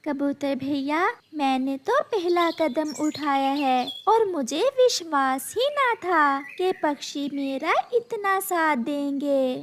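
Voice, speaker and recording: A woman voicing a kind, generous little female sparrow in a cartoon, gentle and reassuring. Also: high-pitched, animated, slow pace, hardly any pauses, slight background noise. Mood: warm.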